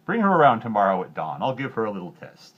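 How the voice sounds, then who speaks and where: Man as a storybook king, greedy, bossy, lofty, husky, very animated. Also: fairly low pitch, quick pace, dull audio, full character voice. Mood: commanding. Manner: lofty and commanding.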